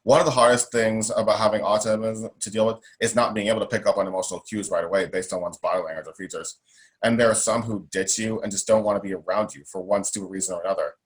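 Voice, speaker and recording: Outspoken young man, low voice. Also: steady tone, quick pace. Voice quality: strong voice. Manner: emphatic, outspoken, repeats himself. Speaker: guest, self-advocate. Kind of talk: interview conversation.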